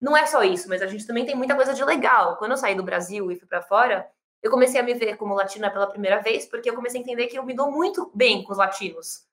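Fast-talking Brazilian woman in an echoey room. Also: fairly high pitch. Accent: Brazilian Portuguese. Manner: fast, animated, many fillers and restarts. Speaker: startup founder. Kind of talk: interview answer.

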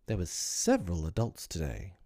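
A man's voice: low-pitched, huge pitch swings, normal speed, warm tone, no echo, clean studio sound, full character voice. A man reading an audiobook, voicing a pompous, sentimental middle-aged father who talks in a grand, self-pitying way. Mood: pleased. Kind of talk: audiobook character voice.

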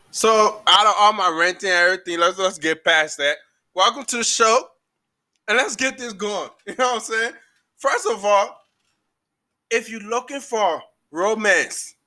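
Liberian man, Liberian accent, podcast host, emphatic heated rant, high voice. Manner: animated, emphatic, repeats words when heated. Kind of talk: ranting solo podcast monologue.

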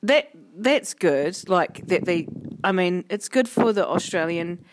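A woman's voice: lowish voice, lively intonation, a little slow, slight background noise. A woman with a New Zealand accent chatting casually as co-host, speaking frankly and with emphasis, half joking as she complains. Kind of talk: casual chat.

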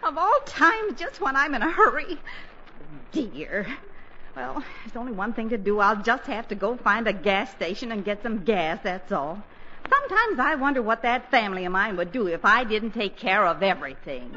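A woman's voice: a little high, sing-song, moderate pace, bright, forceful tone. An actress playing a fretful, scatterbrained middle-aged housewife and mother, flustered and sighing, in 1940s radio comedy style. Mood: exasperated. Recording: very noisy, muffled 1940s radio recording.

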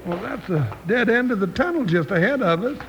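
A comic western sidekick with a very high, lively voice. He is folksy, excitable and full of complaint. It comes over a crackly old radio recording. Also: fairly quick, muffled recording. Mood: grumbling.

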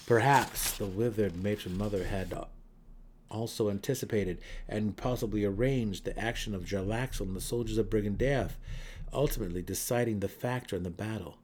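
Low-voiced man narrating dramatically. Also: normal speed, darkish tone, husky. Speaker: audiobook narrator. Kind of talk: dramatic scripted narration of a fantasy novel.

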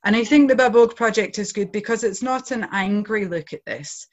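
A woman's voice: mid-range voice, normal speed, lo-fi sound. A woman from outside Ireland, a project founder talking earnestly in an interview, with lots of "you know" fillers. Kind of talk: reflective interview talk.

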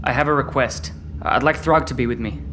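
A man's voice: mid-range voice, brisk, lightly acted. An actor playing a defiant, earnest teenage boy full of questions in a sci-fi audio drama. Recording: long reverb, faint noise.

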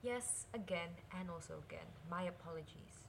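A woman's voice: fairly low pitch, moderate pace, gravelly, noisy background. A woman playing a curious, restless young princess and affectionate sister in an audio drama. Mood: sly, urgent.

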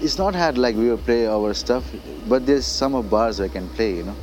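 A Nepali-speaking man with a Nepali accent, a singer-songwriter talking casually as a guest, halting and full of fillers like "you know" and "like". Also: mid-range voice, lively intonation, darkish tone, slight background noise, rough recording. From Darjeeling hills, India. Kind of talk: casual interview. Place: indoors.

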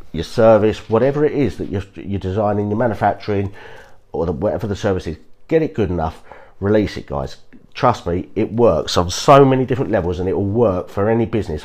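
Croaky low-voiced man giving pep talk. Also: a little mellow. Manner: earnest, repeats "guys, trust me", frequent restarts. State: croaky from a cold. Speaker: podcast host. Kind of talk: casual motivational talk.

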